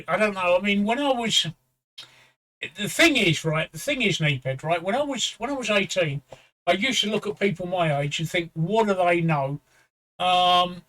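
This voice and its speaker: A middle-aged man with a bright, forceful tone and a slightly higher voice tells personal stories bluntly and at length, swearing now and then. He sounds like a streamer host in casual chat. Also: low-quality recording. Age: 50s. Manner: blunt, swearing, rambling storytelling.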